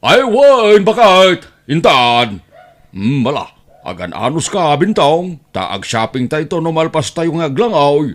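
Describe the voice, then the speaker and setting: A man's voice: on the high side, sing-song, measured pace. A man playing an easygoing but bossy middle-aged uncle in an Ilocano audio drama, with an Ilocano accent. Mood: cheerful, commanding.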